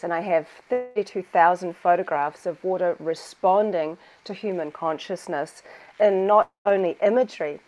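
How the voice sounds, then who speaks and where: A woman's voice: lowish voice, a little mellow, rough recording. A woman with a New Zealand accent, a guest researcher on a video call, explaining her work fluently and with enthusiasm. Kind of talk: conversational explanation.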